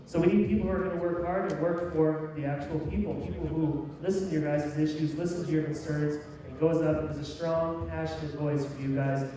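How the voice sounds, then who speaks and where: Male candidate, folksy and emphatic, warm soft tone, heard from afar in a reverberant hall. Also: a little high, fairly even intonation, fairly quick, hardly any pauses. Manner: folksy, emphatic, plain-spoken. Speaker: city council candidate. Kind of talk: campaign closing speech at a public debate. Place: large hall, public debate. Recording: echoey hall, distant mic, faint noise.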